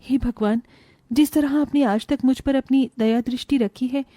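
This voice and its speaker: A woman voicing a dutiful, gentle young daughter-in-law in an animated moral tale, earnest and caring. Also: sits high, moderate pace, no echo. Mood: devout, pleading.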